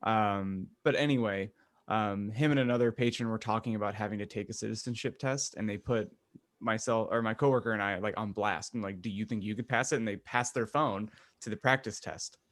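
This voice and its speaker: A man co-hosting a podcast in casual comedic chat, talking in a jokey, halting way. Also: on the low side, no echo. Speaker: podcast co-host.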